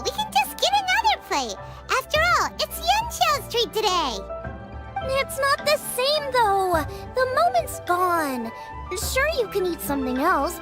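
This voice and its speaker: This is a tiny floating fairy girl in a video game. Her voice is extremely high and squeaky, and it bounces all over the place. She is blunt and teasing, and game music plays clearly behind her. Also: heavily acted. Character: tiny floating fairy girl companion, cheeky and food-loving. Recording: game audio with music underneath.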